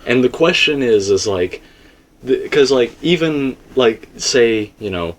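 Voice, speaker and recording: A man's voice: mid-range voice, expressive, a little slow. A man hosting a casual, speculative discussion, talking in a rambling, stop-and-start way and often correcting himself. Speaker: host of a game theory discussion.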